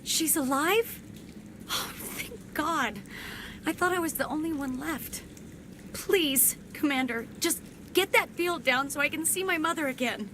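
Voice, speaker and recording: A woman's voice: high-pitched, a little slow, background noise. A woman playing an anxious young research assistant in a video game, earnest and hesitant in manner. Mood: relieved, pleading.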